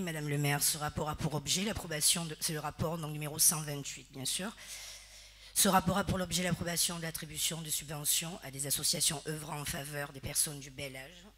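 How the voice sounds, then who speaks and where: A woman council member reading out a formal report with its figures, steady and formal. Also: sits low. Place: municipal council chamber.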